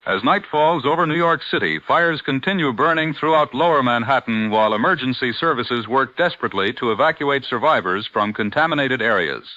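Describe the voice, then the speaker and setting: An actor playing a grave 1940s radio news anchor, delivering a formal, urgent bulletin. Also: male voice, mid-range voice, lively intonation, a little fast, hardly any pauses, sharp tone, dry recording. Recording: dry, dull old radio broadcast sound.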